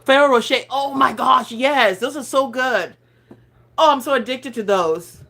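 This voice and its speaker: A woman's voice: sits high, normal speed, creaky, a bit bright. Woman, 30s, accented English, casual phone livestream host, chatty and giggly, trips over words. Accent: non-native English accent. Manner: chatty, rambling, laughs often, stumbles over words. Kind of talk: casual live chat reading viewer comments.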